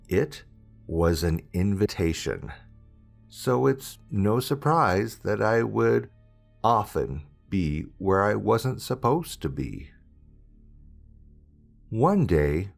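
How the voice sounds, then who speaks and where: A man with a fairly low voice reads a scripted horror story. He takes it very slowly, with a deliberate, dramatic delivery and wide swings in pitch. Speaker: host and narrator of a scary-story channel.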